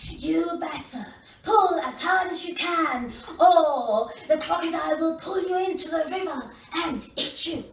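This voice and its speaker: A woman's voice: extremely high pitch, unhurried. A woman voicing a kind, helpful female snake in a storybook tale, giving an urgent warning. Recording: roomy, slightly distant mic.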